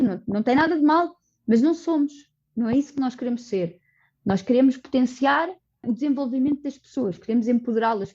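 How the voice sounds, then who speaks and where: A woman's voice: on the high side, mellow, slightly rough. Earnest Portuguese woman, muffled sound. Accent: European Portuguese. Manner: earnest, explanatory, with frequent restarts and "portanto". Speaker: social coordinator of a community project, guest. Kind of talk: conversational podcast interview. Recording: dull, muffled audio.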